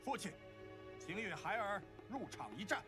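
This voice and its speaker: An actor playing a brash, haughty young man in an animated fantasy drama. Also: sits high, normal speed. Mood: proud, defiant. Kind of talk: Chinese animation voice acting. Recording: quiet show audio under music.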